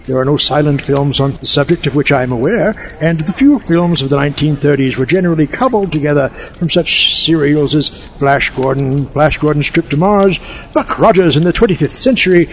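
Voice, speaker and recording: A man's voice: fairly high pitch, brisk, muffled recording, a little hiss or hum, lightly acted. A man playing a theatrical mad-scientist doctor, pompous and wry, lecturing wordily in a comic audio drama. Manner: pompous, wry, wordy, mock-erudite. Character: theatrical mad-scientist doctor, fussy and haughty film buff.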